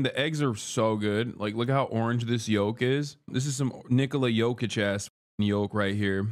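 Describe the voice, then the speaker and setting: A man in his thirties, from Turkey, riffs loosely and profanely with his live chat between bites. His voice sits at an ordinary middle pitch. He is close on a studio mic in a small wood-paneled room. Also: clean tone. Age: 30s. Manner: brash, profane, joking, talks with food in mouth. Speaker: streamer. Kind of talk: casual livestream chat while eating. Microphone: studio mic on boom arm close to face.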